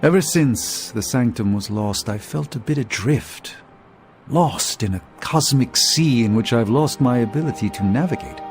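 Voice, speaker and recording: A refined male sorcerer in a video game, acted by a man, reflective and weary. His mid-pitched voice carries self-doubt, with faint music underneath. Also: lively intonation, moderate pace, natural voice. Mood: adrift, doubtful. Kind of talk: video game cutscene acting.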